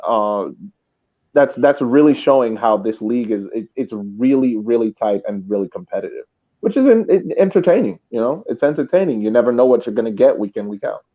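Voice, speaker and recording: A man's voice: mid-range voice, expressive, brisk. A man co-hosting a casual sports podcast, restating his points as he talks. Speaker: podcast co-host. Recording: thin, phone-like remote call sound.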